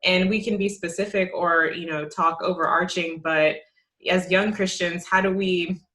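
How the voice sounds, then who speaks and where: A woman's voice: fairly low pitch, steady tone, moderate pace, fairly bright tone. A young adult woman interviewing two priests on a video call, asking open questions and thanking them. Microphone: video call webcam.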